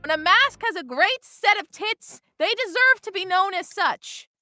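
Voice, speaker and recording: A woman's voice: expressive, moderate pace, pushed and bright, no room sound, studio quality, faint music behind. A young woman player chatting casually between game scenes, giggly and rambling, swearing freely. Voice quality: lower speaking voice, mezzo.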